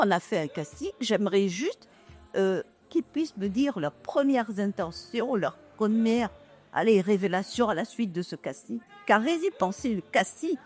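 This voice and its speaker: A woman interviewer of middle pitch questions young models at a gallery event. She sounds encouraging and chatty, and faint music plays behind her. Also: darkish tone. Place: art gallery.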